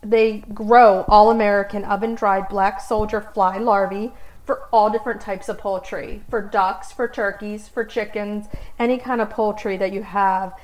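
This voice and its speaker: A woman's voice: medium pitch, fairly even intonation, moderate pace, darkish tone, low-quality recording. A woman chatting casually as a podcast co-host, playful and given to exclamations. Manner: exclamatory, playful, sings out little jingles. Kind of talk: casual chat.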